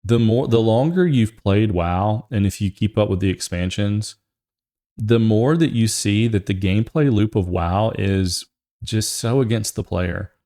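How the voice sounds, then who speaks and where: A man's voice: lowish voice, unhurried, dark tone. A man hosting a gaming show, giving casual, opinionated commentary in a rambling, emphatic way and often repeating his phrases.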